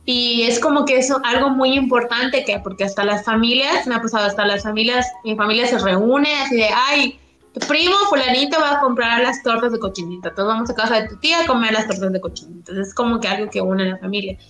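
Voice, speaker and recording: A woman's voice: on the high side, fast talker, fairly bright tone, soft background music. Woman from Yucatán, echoey room. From Mexico, Yucatán. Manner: rambling, many restarts and fillers. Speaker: interviewee. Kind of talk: casual conversational interview.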